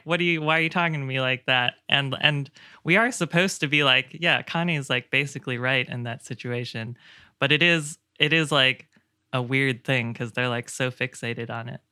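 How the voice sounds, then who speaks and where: A man's voice: mid-range voice, moderate pace, bright, forceful tone, smooth, dry recording, clean studio sound. A man in a casual podcast discussion, musing as he goes.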